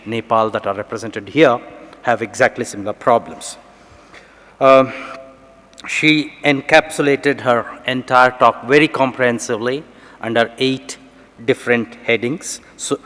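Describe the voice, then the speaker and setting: A man from India chairing a conference session, making formal, measured remarks after a talk. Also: mid-range voice, a bit bright. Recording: reverberant conference hall.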